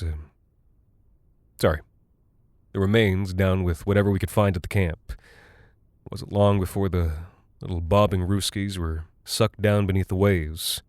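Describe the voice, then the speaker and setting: A worn-out, rattled Cold War airman in an audio horror monologue. His voice sits on the low side, recorded close and dry. He talks conversationally and haltingly, rambling and apologizing as if confessing to his wife. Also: a little mellow, clean tone, no room sound. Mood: weary, matter-of-fact. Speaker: audio drama actor.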